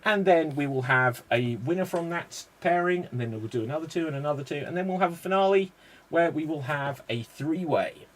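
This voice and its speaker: Man, improv show host, brisk, chatty, explains games, slightly high voice. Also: lively intonation. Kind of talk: live-stream show hosting.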